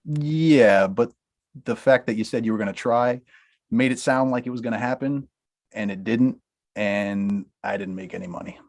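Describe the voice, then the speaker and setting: A man's voice: medium pitch, moderate pace, close to an everyday voice. A man reading the part of a blunt, money-minded talent manager in a comedy table read. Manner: brusque, dismissive, laughs through lines.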